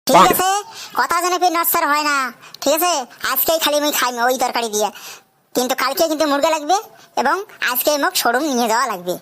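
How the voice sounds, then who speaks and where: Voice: high voice, animated, fast, fairly bright tone. A performer voicing a spoiled, stubborn teenage son in a comedy cartoon, whining and arguing in a North Bengal accent. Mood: bargaining. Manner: whining, argumentative.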